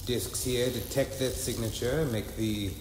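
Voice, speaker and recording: Sci-fi comedy inventor, male, played by an actor: curt, precise, sarcastic, mid-pitched, very reverberant space. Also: fairly even intonation, measured pace, natural voice. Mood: stern, sardonic. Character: curt, condescending adult male inventor of interdimensional machines. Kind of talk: sci-fi comedy audio drama acting. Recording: echoey hall, faint background noise.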